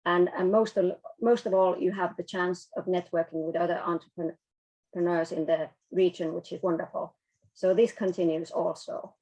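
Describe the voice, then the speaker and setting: Lowish-voiced woman hosting an event. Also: steady tone, normal speed, a little mellow, low-quality recording. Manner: upbeat and encouraging. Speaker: event host. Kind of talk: event announcements.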